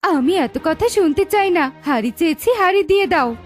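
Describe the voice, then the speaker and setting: A woman's voice: very high-pitched, lively intonation, moderate pace, faint music behind. A woman voicing a greedy, pushy, envious female bird in a children's cartoon moral story, demanding and boastful. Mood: pushy, loud.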